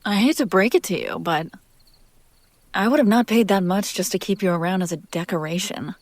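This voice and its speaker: A woman playing a possessive, teasing demon in an intimate scripted audio roleplay, speaking theatrically with drawn-out phrases that drop toward whispers. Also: medium pitch, no room sound, close to an everyday voice. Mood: puzzled, exasperated.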